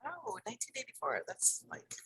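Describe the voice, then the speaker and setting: A woman's voice: on the high side, huge pitch swings, moderate pace, sharp tone, breathy edge, dry recording. A woman in her fifties hosting a podcast, talking to her guest in an enthusiastic, rambling way full of fillers. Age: 50s. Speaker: podcast host. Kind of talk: conversational interview.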